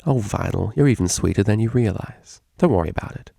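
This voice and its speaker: A man's voice: fairly low pitch, lively intonation, fairly quick, vocal fry, mellow, slightly rough, no echo, clean studio sound, exaggerated voice. A man voicing a refined female pony cellist for a story, prim, polite and coyly teasing. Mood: soft, reassuring. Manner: prim, proper wording, coyly innocent.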